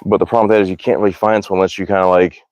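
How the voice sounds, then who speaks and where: Low-voiced American guy, casual and rambling. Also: flat delivery, very fast, darkish tone, no room sound, lo-fi sound. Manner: informal, slangy, rambling. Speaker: livestream host, hobby archer. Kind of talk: casual livestream chat. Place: outdoors at a backyard archery range.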